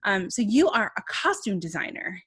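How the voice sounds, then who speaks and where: A woman's voice: mid-range voice, big pitch swings, normal speed, fairly bright tone, breathy edge. A woman hosting an interview podcast, upbeat and enthusiastic as she asks her questions. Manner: upbeat and enthusiastic, laughs often. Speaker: podcast host.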